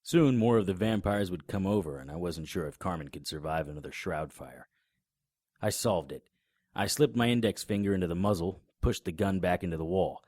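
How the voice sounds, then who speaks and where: A man's voice: deep voice, animated, fairly quick, darkish tone, dry recording. A man narrating a first-person noir horror audio drama in a grim, matter-of-fact manner and voicing its lead character.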